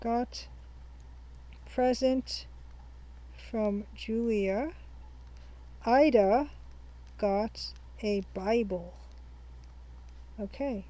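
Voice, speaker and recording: A young woman hosting a game playthrough, her voice sitting a little high as she chats casually. She thinks aloud and reads her notes back slowly. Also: dark tone, low-quality recording. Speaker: let's-play host. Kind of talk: casual let's-play commentary.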